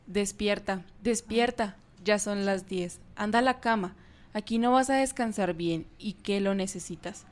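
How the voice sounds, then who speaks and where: A woman's voice: mid-range voice, a little slow, close to an everyday voice. A woman playing a caring, worried mother who pleads and coaxes in a radio drama. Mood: coaxing, worried. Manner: pleading, coaxing.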